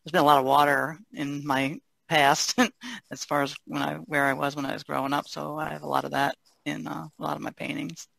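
A woman's voice: deep voice, little pitch movement, fairly quick, vocal fry, a bit bright, no room sound, rough recording. A woman from the Chesapeake Bay region chatting casually and easily as a painter. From Chesapeake Bay region, United States. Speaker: painter being interviewed. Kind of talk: casual chat.

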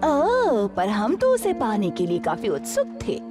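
Haughty, swooping rich collector. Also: female voice, on the high side, wildly swooping pitch, a little slow, music in the background, heavily acted. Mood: haughty, teasing. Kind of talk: Hindi cartoon dubbing.

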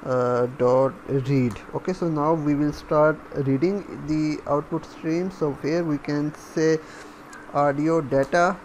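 A man giving a step-by-step coding tutorial, halting as he thinks aloud while typing. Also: on the high side, fairly even intonation, a little mellow. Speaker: programming tutorial instructor. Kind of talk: step-by-step coding screencast narration. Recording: faint background noise.

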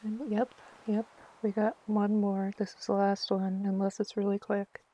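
A woman's voice: mid-range voice, flat delivery, normal speed, mellow, muffled recording. A woman giving casual, chatty commentary on a live game stream, talking to herself with mock exasperation.

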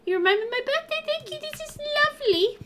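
A kindly old village grandmother from a video game, acted out by a woman, talks gently and sentimentally. The voice is pitched high and lilting, with faint noise behind it. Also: lively intonation, quick pace. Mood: tender, touched. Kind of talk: reading game dialogue in character.

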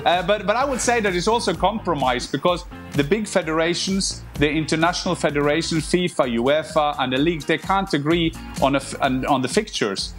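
A man talking in a measured way as a football pundit in a casual panel debate. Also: on the high side, a bit bright.

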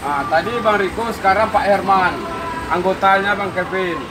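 An upbeat man talks casually through a phone camera, his voice sitting a touch high. Engine rumble and water-jet spray roar loudly around him in an outdoor workshop yard. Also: normal speed. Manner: chatty, upbeat, showing off the work. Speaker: car detailing vlogger.

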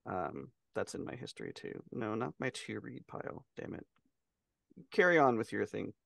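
A man's voice: fairly low pitch, very animated, moderate pace, vocal fry, a bit bright, no echo. Middle-aged man, dry close sound. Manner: casual, freely swearing. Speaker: podcast co-host. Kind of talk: casual chat about books. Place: small room on a video call.

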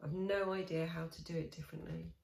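A woman in her forties guiding a tapping session, speaking slow, soothing, repeated affirmations with sighs. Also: sits low, steady tone, creaky, darkish tone, slight background noise. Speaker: EFT tapping coach. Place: small room indoors. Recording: echoey small room.

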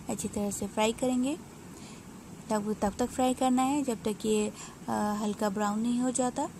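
A woman narrating a home cooking recipe step by step in an unhurried, explanatory way, pausing with small hesitations. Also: mid-range voice, noisy background. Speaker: home cook.

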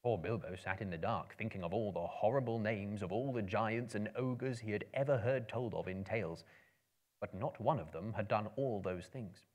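A man in his thirties reading a story aloud dramatically, in a theatrical, expressive storyteller's manner. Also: on the low side, moderate pace, muffled recording. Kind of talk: dramatic book reading to a live audience. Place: indoor room with bookshelves, small live audience.

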